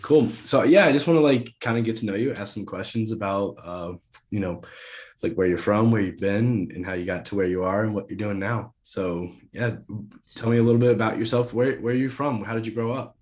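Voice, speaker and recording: A man's voice: lowish voice, a little fast, a little mellow. A man hosting a podcast, asking loose questions full of fillers. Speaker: podcast host. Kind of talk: casual interview chat.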